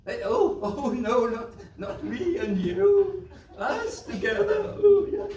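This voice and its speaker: A man's voice: very high voice, big pitch swings, takes it slowly, gravelly, darkish tone, exaggerated voice. A man playing a pompous, scheming village squire in a pantomime, blustering and stammering. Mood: flustered. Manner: stammering, hemming and hawing bluster. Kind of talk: British pantomime stage acting. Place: live stage performance. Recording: distant roomy stage recording with background noise.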